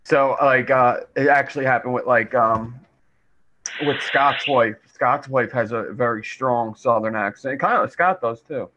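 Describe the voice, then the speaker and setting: A man's voice: mid-range voice, measured pace, pushed and bright. Philly-accented man, muffled lo-fi voice. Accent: Philadelphia. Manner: chatty anecdote-telling. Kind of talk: casual group chat on a livestream. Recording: dull lo-fi audio with faint hiss.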